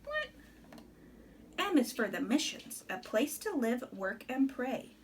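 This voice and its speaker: Female storybook reader for young children, higher-pitched and very animated, expressive rhyming delivery, simple home recording. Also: takes it slowly, noisy background. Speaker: read-aloud host reading to a small child. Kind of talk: picture-book read-aloud in rhyme with chatty asides to a child.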